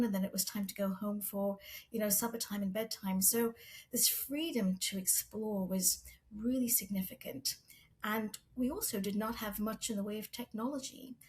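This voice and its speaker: A middle-aged woman who grew up in Zambia, a guest on an interview podcast, speaking in an earnest, measured, reflective way. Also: medium pitch, a little mellow. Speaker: guest, pharma medical executive. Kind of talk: interview storytelling.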